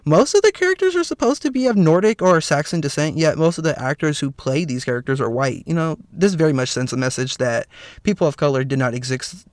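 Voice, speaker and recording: A slightly hoarse man with a raspy voice hosts a solo podcast, talking earnestly with lots of "you know" fillers. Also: on the high side, no echo. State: slightly hoarse, clearing throat. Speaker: podcast host. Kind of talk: solo podcast commentary, partly read from notes.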